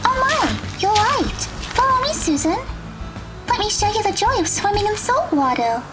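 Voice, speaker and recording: A woman playing a gentle, considerate teenage girl in an audio drama, speaking politely. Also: very high-pitched, big pitch swings, moderate pace, over music. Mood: cheerful. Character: gentle, caring teenage girl with magical knowledge.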